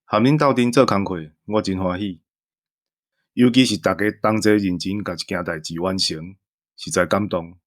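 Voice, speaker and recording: A man's voice: low-pitched, normal speed. A man with a Taiwanese accent, an amateur voice actor, giving a casual farewell talk to listeners. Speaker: amateur voice actor on an audio drama team.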